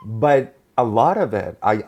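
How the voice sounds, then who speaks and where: A man's voice: on the low side, expressive, unhurried, highs cut off. A man hosting a podcast interview, asking curious questions and laughing easily. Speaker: podcast host. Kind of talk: casual interview.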